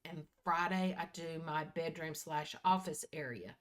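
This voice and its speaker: A woman's voice: deep voice, a little slow. A woman in her early sixties chatting casually to her viewers in a folksy, candid way, often repeating and correcting herself. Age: early 60s. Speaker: vlogger. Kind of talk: casual personal chat to viewers.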